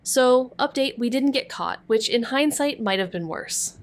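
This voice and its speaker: A woman playing a moody, wry young noir narrator with a terse, deadpan delivery in an audio drama. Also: sits high, unhurried. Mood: wry, uneasy. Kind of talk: scripted noir narration and dialogue.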